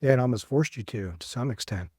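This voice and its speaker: A man hosts the interview, asking questions in a curious, conversational way. His voice is mellow and warm, and it sounds close and dry in the recording. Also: mid-range voice, fast talker, breathy edge, no room sound. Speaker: podcast host.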